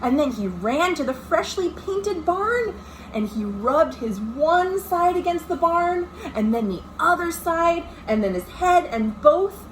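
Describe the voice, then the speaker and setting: A woman reads a picture book to children in a high, animated voice. She takes her time, leaning on words for drama and addressing her young audience directly. It is a homemade recording with some background noise. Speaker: children's librarian hosting storytime. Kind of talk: read-aloud children's picture-book storytime with asides to young listeners. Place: indoors at home. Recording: noisy amateur home recording.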